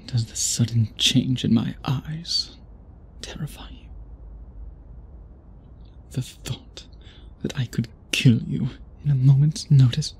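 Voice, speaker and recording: A man playing a centuries-old vampire suitor in an intimate ASMR audio drama, speaking in formal, archaic, deliberate phrases with a breathy, husky voice. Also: medium pitch. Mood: menacing, obsessive. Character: centuries-old male vampire suitor, possessive, courtly, brooding.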